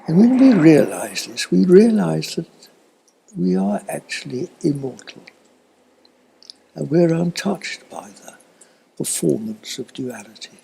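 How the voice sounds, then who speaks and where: An elderly man giving a slow, reflective spiritual talk in a husky, breathy voice that often fades toward a whisper, with long thoughtful pauses. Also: fairly high pitch, big pitch swings, warm tone, rough recording. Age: older, elderly. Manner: contemplative, halting with long pauses. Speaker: spiritual teacher.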